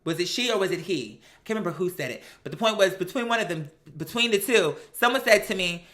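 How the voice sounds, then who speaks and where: A woman doing casual gossip commentary on reality TV, sassy and animated, with slangy, profane talk and mocking quotes. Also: fairly low pitch, quick pace. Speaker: host of a live commentary show.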